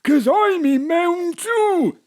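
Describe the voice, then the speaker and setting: Excitable pub landlord, really high voice, slow, plaintive and naive, radio play. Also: male voice, heavily acted. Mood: taunting.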